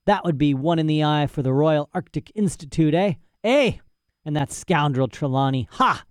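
Man doing an aggrieved, boastful old academic, pitched up a touch, rambling. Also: animated, normal speed, no echo, clean studio sound, natural voice. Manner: boastful, ranting, rhetorical "eh?" asides. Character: old imprisoned male professor, indignant and rambling. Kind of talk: audiobook character voice.

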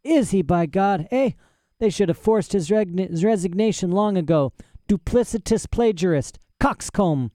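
A man's voice: high voice, lively intonation, moderate pace, mellow, no room sound, studio quality, pitched above the performer's own voice. A man voicing a mad old professor in a storybook reading, cranky, boastful and pompous, querulously tossing in "eh". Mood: indignant. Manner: agitated, querulous, pompous, punctuating talk with "eh".

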